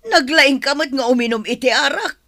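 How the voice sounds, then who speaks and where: Nagging older mother for radio-style drama, actress, bright voice, exasperated and complaining, dry close recording. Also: sits high, a little fast, no echo. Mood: scolding. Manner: scolding, complaining. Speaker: actor in an audio drama. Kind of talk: dramatised dialogue in a serial love story.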